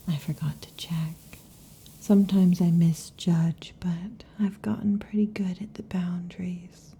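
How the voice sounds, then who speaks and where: A woman voicing a possessive, overheated female lamia in an ASMR roleplay, whispering softly and teasingly into a close mic. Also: fairly low pitch, a little hiss or hum. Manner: soft intimate whispering, teasing. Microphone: close sensitive mic.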